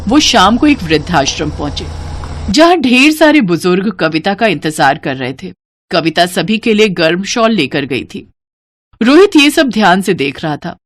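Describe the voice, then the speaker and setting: Woman narrating a story at medium pitch. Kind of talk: scripted cartoon story narration.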